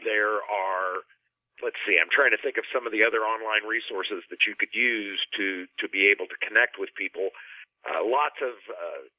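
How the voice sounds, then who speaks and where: A man's voice: on the low side, normal speed, no echo. Man on a phone-like line, explaining. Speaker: panel guest, musician. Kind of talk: conversational explanation. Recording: dry, narrow telephone-like line.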